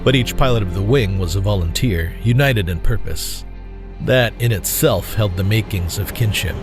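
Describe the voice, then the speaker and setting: Low-voiced male narrator over music. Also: normal speed. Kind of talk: scripted dramatic story narration. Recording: clear music bed under voice.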